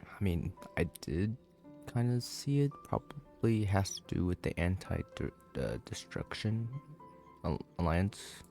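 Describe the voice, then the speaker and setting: A man giving casual, halting and skeptical commentary as he plays a video game. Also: low-pitched, animated, vocal fry, dark tone, soft background music. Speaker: gaming YouTuber.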